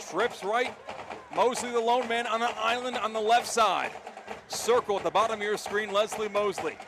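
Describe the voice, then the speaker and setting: An American man calling live football play-by-play, descriptive and animated, from an outdoor stadium. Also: high-pitched. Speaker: play-by-play announcer. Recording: live stadium broadcast with loud background noise. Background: loud stadium noise.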